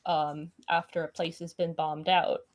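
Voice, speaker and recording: Woman, lower pitch, very unhurried pace, measured and halting, co-host, casual explanation, visual novel read-aloud. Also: little pitch movement.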